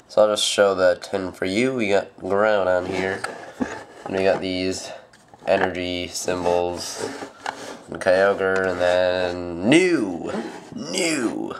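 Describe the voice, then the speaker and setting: A teenage boy hosting a card pack opening with a stuffed nose from a cold, rambling with lots of fillers. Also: low voice. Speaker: channel host. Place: home room at a table. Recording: noisy home camera audio.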